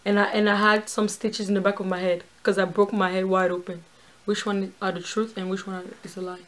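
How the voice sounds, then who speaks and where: A woman in her twenties in a casual couple challenge video, chatty and quick to laugh, filming in a small room. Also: medium pitch, flat delivery, a bit bright. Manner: chatty, filler-heavy, laughs easily. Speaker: co-host.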